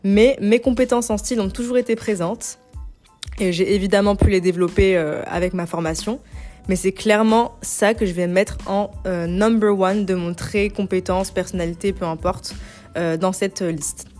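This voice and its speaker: A woman's voice: mid-range voice, steady tone, normal speed, darkish tone, soft background music. A young woman from Algeria hosting a casual self-help podcast, talking informally in youthful slang sprinkled with English loanwords. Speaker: podcast host and image consultant.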